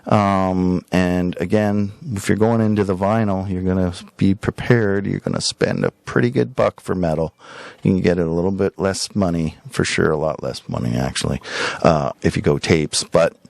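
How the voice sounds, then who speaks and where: A man hosting a podcast in a casual, rambling way, showing items for sale with drawn-out emphasis and frequent tag questions. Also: deep voice, vocal fry, a little mellow, dry recording. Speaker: podcast host and record shop seller. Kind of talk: casual rambling product showcase.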